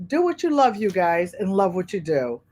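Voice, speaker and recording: A woman's voice: medium pitch, big pitch swings, moderate pace, a little mellow. Chatty woman with a Connecticut accent. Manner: rambling, frank, talks to viewers by name. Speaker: quilting channel host. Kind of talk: chatty livestream talk while sewing.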